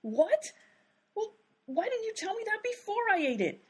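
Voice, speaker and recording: A woman's voice: very high voice, very animated, normal speed. A woman playing an exasperated, determined but inept detective in a radio comedy, with an emphatic, easily sidetracked manner.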